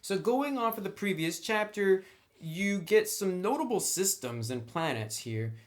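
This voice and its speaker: A man's voice: sits high, animated, measured pace, faint music behind. A man reviewing in a casual, conversational and opinionated way, speaking informally like a hobby commentator. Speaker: tabletop game book reviewer.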